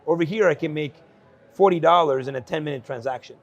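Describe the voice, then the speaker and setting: A man in his forties from El Salvador, a guest speaking earnestly at an investment conference about his life, with frequent fillers and quick laughs. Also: on the high side, dull audio. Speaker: guest entrepreneur. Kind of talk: candid conversational storytelling.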